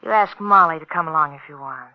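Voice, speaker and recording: A woman's voice: deep voice, fast talker, lightly acted. A woman playing a middle-aged gangster's lover, bitter and jealous. It is a 1950s radio crime drama, with the dull, muffled sound of an old broadcast recording. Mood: coaxing.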